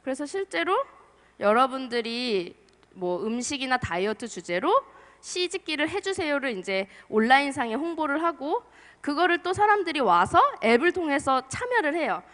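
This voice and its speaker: A woman's voice: high voice, very animated, moderate pace. Young female marketer, slightly under the weather, casual presenter in an echoing hall. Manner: chatty, soft-spoken, with small laughs and restarts. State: has a cold, voice strained. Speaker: marketer giving a conference talk. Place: large lecture hall. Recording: long hall reverb.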